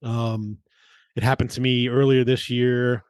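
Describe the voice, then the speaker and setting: A man's voice: medium pitch, level tone, measured pace, a little mellow, clean tone, no room sound. A middle-aged man in casual podcast conversation, telling personal stories in informal, sweary language. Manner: profane, informal. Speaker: podcast co-host. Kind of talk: casual chat and personal storytelling.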